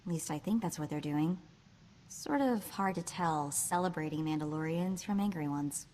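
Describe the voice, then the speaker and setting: A woman's voice: sits low, normal speed, dark tone, a little hiss or hum, close to an everyday voice. A woman voicing a plucky, street-smart young slicer in a video game, wry and breezy, with clipped slangy talk. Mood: determined.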